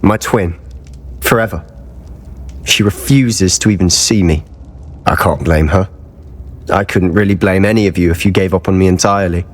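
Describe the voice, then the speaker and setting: An actor playing an earnest, remorseful teenage wizard schoolboy in a dramatic video game. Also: male voice, sits low, moderate pace, long pauses. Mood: grieving. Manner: earnest, emotive. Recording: music behind the voice.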